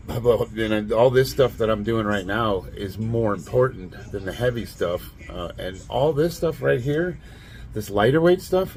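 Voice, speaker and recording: Brief: late-40s man, nasal and raspy, low voice, faint hiss in the garage. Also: darkish tone. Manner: blunt, rambling, laughs often. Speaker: fitness vlogger. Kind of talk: casual monologue to camera.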